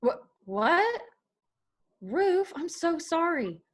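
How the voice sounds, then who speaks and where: A woman's voice: extremely high pitch, sing-song, very slow, heavily acted. A woman playing a hurt, confrontational young daughter in a dramatic screenplay table read. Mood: apologetic.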